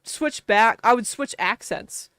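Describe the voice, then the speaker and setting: A woman's voice: on the high side, expressive, slow pace, a bit bright, clean tone, no room sound, studio quality. A woman from Wisconsin with a Wisconsin accent, chatting casually while streaming a game, laughing often and saying "like" a lot. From Wisconsin, USA. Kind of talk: casual livestream chat.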